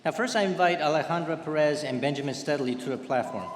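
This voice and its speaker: A man presents honors at a commencement, his delivery measured and ceremonial. His voice sits a little high and carries a long reverb tail through a large hall. Faint ambient noise lingers under it. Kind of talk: formal commencement speech. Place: large indoor ceremony venue.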